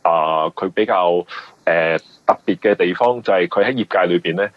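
Low voiced hong kong cantonese guy explaining stuff in an interview, bit husky, stops and repeats himself, dull dry audio. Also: dry recording. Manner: halting, explanatory, often repeats words. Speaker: guest, mystery novelist. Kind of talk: conversational interview answers. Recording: muffled, band-limited radio interview audio.

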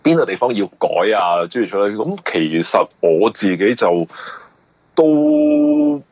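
A man's voice: fairly low pitch, very animated, unhurried, no echo. A man with a Hong Kong accent giving casual interview answers as a novelist, explaining at length and often rephrasing. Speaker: mystery novelist, interview guest. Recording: dull lo-fi radio interview audio.

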